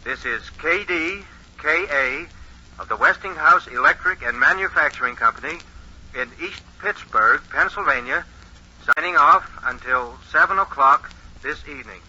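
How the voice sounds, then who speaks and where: An actor playing an earnest 1920s radio announcer in a radio drama, reading out figures with deliberate emphasis. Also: male voice, a little high, lively intonation, bright, forceful tone, slightly rough, full character voice. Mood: solemn, formal. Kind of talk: 1940s radio drama acting, newsreader delivery. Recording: muffled vintage 1940s radio recording.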